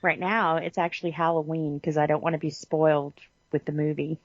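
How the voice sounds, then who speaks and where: A woman's voice: deep voice, level tone, brisk, no echo, dull audio. A woman chatting casually as a podcast co-host. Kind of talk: casual chat.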